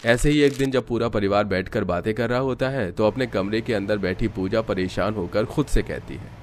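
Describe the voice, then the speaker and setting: Male narrator for an animated domestic story, deeper pitch, scripted read with faint background noise. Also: moderate pace.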